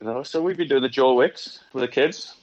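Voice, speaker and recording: Rugby player on a muffled call. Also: male voice, medium pitch. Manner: halting, lots of restarts and fillers. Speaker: professional rugby player, guest. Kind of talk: casual chat on a remote call.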